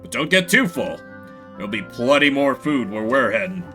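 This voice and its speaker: A man voicing a calm, polite, protective older mouse brother in video game dialogue, with formal, measured phrasing. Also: medium pitch, faint music behind, voice pushed down. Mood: reassuring.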